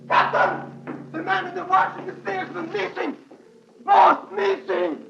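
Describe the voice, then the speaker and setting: An actor playing a frightened, half-mad ship's mate in a 1930s radio drama, intense and haunted, in broken phrases. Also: male voice, very high-pitched, little pitch movement, measured pace, gravelly, exaggerated voice. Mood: panicked. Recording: dull, faintly noisy 1930s radio recording.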